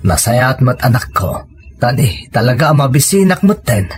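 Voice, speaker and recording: A man's voice: medium pitch, very animated, moderate pace, soft background music, natural voice. A man acting as a hard-working rural Filipino father in an audio drama. His voice sits in a middle register, and he speaks simply and earnestly, with devotion to his family. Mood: tender.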